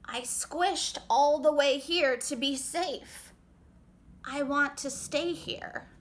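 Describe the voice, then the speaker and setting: A woman voicing a gentle, lost garden snail in a picture book, speaking in a very slow, drawn-out way. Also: high-pitched, slight background noise. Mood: slow, forlorn. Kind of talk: picture book read-aloud.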